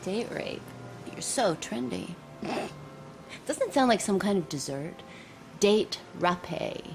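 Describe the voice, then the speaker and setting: An actress playing a sensible young woman, dry and gently exasperated, in naturalistic audio drama dialogue. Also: medium pitch, lively intonation, slow, creaky, soft background music. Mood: dry, amused.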